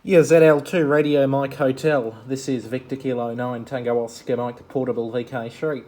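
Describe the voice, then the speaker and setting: A man making an amateur radio exchange in a matter-of-fact, halting way. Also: medium pitch, fairly even intonation, a little mellow. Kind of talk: ham radio call exchange. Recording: faint background noise.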